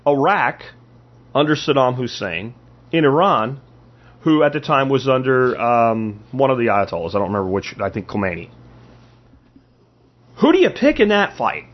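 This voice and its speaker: A man's voice: medium pitch, sing-song, normal speed, pauses often, dull audio. A man hosting a podcast, delivering an opinionated political monologue in an emphatic way, with rhetorical questions and repeated phrases. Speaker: podcast host.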